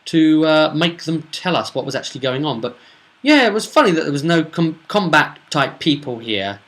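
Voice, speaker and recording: Bright, forceful man recapping a tabletop game. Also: on the high side, expressive, normal speed. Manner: chatty, rambling storytelling. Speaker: game master. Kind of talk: casual recap of a tabletop role-playing session.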